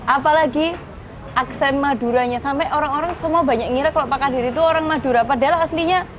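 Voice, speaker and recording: A young woman hosting an interview, eager and full of quick agreements. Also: high-pitched, a faint crowd, a little hiss or hum. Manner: eager, frequent short agreements. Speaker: interviewer host. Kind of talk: casual interview.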